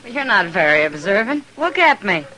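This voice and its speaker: Lively, bright western frontier woman. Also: medium pitch, moderate pace. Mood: playful, teasing. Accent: American. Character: spirited, teasing, independent frontier woman. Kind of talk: 1950s western radio drama acting. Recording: noisy old radio broadcast recording.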